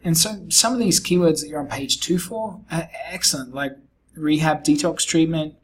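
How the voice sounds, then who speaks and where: A man with a croaky, hoarse voice talking a client through a website review and sales pitch in a relaxed, conversational way. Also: moderate pace, slight background noise. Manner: conversational, explanatory, frequent fillers and restarts. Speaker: SEO agency founder pitching to a client.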